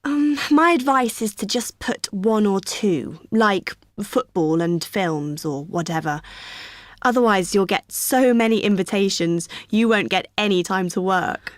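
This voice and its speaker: A woman's voice: medium pitch, measured pace, no room sound, clean studio sound, lightly acted. A woman playing a friendly union receptionist. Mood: helpful. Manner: friendly, helpful, explaining patiently. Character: cheerful young female union helper. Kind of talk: scripted exam listening dialogue.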